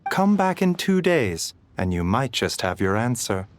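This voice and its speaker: An actor playing a calm, courteous male doctor in a video game, polite and measured in his speech. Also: medium pitch. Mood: reassuring. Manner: polite, soft-spoken, measured.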